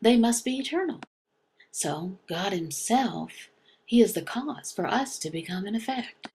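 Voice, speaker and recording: Female religious explainer: slow, gravelly, emphatic and halting, with short repeated phrases. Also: medium pitch, animated, darkish tone. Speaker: teacher voicing a signed religious lesson. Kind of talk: slow explanatory religious teaching, question and answer.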